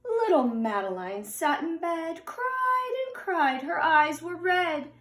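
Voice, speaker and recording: A middle-aged woman reading a picture book aloud to young children in an expressive singsong way, recorded in a room at home. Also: extremely high pitch. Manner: slow, deliberate, singsong storytelling addressed to children. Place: indoors upstairs at home. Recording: roomy home recording with echo.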